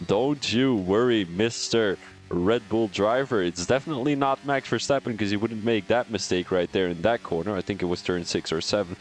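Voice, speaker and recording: A young man with a Belgian accent doing live commentary on a sim racing stream, excitable and chatty, laughing and rambling between calls. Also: mid-range voice.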